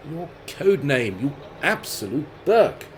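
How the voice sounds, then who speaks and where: A genteel villain of a radio drama, slightly high in voice and wildly swooping in pitch. He draws out his words with mocking courtesy, sounding suave, condescending and quietly dangerous. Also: sing-song, a little hiss or hum. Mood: shouting orders. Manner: theatrical, condescending, chuckling politeness with drawn-out phrases. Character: suave, menacing, drawling male crime boss, mockingly genteel. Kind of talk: radio play acting.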